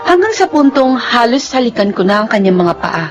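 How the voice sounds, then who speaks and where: A woman's voice: a little high, very animated, moderate pace, faint music behind. A woman playing a devoted, long-suffering pregnant young girlfriend in an emotional Filipino radio drama. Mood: wistful narration. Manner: emotional, plaintive. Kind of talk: Filipino radio drama acting and first-person narration.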